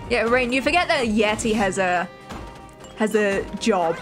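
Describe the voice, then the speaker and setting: A young woman from the north of England with a softened northern accent, chatting casually and giggling as a streamer while she plays and reads chat aloud. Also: medium pitch, lively intonation, a little slow. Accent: Northern English, softened. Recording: game music behind the voice.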